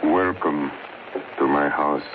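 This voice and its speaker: A deep, commanding vampire nobleman speaks with slow, ominous courtesy in an old radio play. The sound is thin and tinny, with clear hiss and noise over the menacing male voice. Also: steady tone. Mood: ominous welcome. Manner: slow, formal, ominous delivery. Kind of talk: 1930s radio drama acting.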